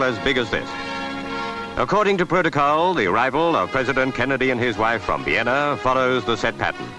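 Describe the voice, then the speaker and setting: A man's voice: mid-range voice, low-quality recording. A man narrating a 1960s newsreel in scripted commentary over music. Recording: archive newsreel with music bed.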